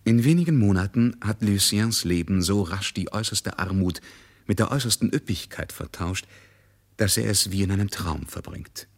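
Deep-voiced male radio drama narrator, emphatic. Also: lively intonation. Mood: dramatic narrating. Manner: measured, emphatic, literary.

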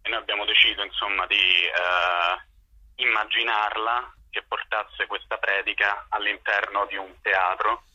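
A man's voice: fairly low pitch, fairly even intonation, unhurried, bright, forceful tone, breathy edge, no room sound. A man interviewed as a playwright over a phone line, answering spontaneously and often searching for words. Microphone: telephone.

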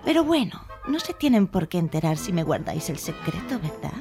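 A woman's voice: lowish voice, big pitch swings, brisk, music in the background. An actress playing a chatty, self-important young woman who dreams of pop stardom, gushing and colloquial, in an audio comedy. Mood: excited, evasive. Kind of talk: audio drama comedy acting.

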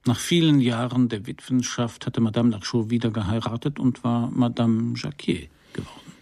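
An actor playing a laconic, courteous Parisian private detective in a radio crime drama, speaking and narrating with a dry, gravelly, husky voice. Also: male voice, medium pitch, steady tone, moderate pace, a little mellow, no room sound, natural voice. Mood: dry, wry. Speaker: detective and first-person narrator.

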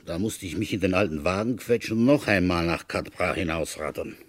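Husky, deep-voiced police commissioner. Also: male voice, brisk. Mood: wry, weary musing. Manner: insistent in questioning, musing when narrating. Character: feverish, suspicious male village police commissioner in France. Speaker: detective and first-person narrator. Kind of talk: radio play dialogue and confiding narration.

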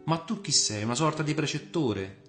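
Man playing a guarded young newcomer, raised pitch. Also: expressive, moderate pace, a bit bright, roomy sound, faint music behind. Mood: guarded, curious. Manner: terse, challenging, questioning. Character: guarded, irritable, curious young male newcomer.

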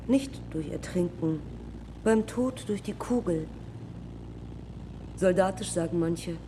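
An actress playing a wry, reflective young woman aviator of the 1930s in a radio drama, speaking deliberately in short, clipped fragments. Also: on the low side, background noise. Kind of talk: radio drama monologue and dialogue.

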